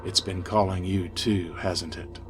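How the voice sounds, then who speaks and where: Gothic horror audio tale: insane male inmate, acted by a male narrator, intense, menacing, unhurried, music behind. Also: sits low, fairly even intonation. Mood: hushed, intense. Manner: fervent, ominous, swinging from hushed to ranting.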